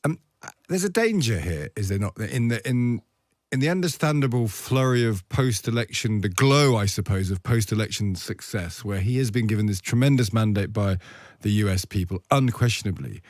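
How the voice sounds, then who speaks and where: A man's voice: on the low side, lively intonation, moderate pace, a little mellow, dry recording, studio quality. A man conducting a political interview in a questioning manner, often ending his points with rhetorical tag questions.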